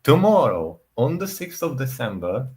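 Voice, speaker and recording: A man's voice: mid-range voice, very animated, moderate pace, a little mellow. A man speaking casually as a guest panelist on a livestream, over a voice call.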